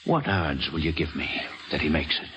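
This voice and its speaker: An actor in an old radio drama playing an anxious, courteous Revolutionary War general keeping watch. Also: male voice, very deep voice, big pitch swings, normal speed, music in the background. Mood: tense. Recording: muffled, noisy old radio recording.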